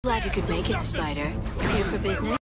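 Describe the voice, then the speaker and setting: A woman's voice: medium pitch, expressive, fairly quick, close to an everyday voice. An actress playing a flirtatious, mocking female thief and gang boss in a video game. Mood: mocking, flirty. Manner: mocking, teasing. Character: taunting, flirtatious female cat burglar crime boss. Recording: loud music under the voice.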